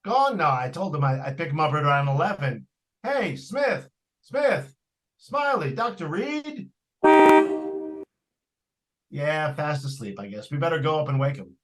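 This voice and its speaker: A man's voice: on the high side, big pitch swings, a little slow, pauses often, heavy background noise. A man playing an eager, talkative property salesman in old-time radio suspense style, with a folksy, persuasive manner. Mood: puzzled, calling out. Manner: folksy, persuasive, colloquial phrasing. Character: eager, talkative salesman trying to unload a haunted house.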